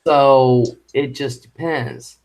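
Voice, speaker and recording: A man's voice: mid-range voice, fairly even intonation, a little mellow, poor audio. Rambling man hosting a casual live chat. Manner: halting and rambling, with many fillers. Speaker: live stream host. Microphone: home microphone.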